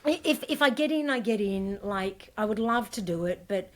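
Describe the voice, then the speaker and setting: A woman endurance coach from Scotland talks casually on a podcast, looping through fillers and restarting her sentences. Her pitch sits in an ordinary middle range. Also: animated, moderate pace, a bit bright, slight background noise. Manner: chatty, many fillers and restarts. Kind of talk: casual interview chat.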